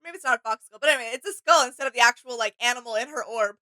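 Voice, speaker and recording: A woman's voice: on the high side, very fast, sharp tone. A woman co-hosting a reaction channel, chatting casually in a gushing, agreeable way.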